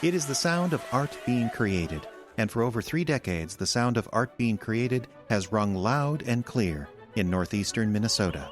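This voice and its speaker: A male radio announcer with a low voice reads scripted continuity and sponsor copy. Music plays clearly behind him. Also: lively intonation, normal speed, few pauses.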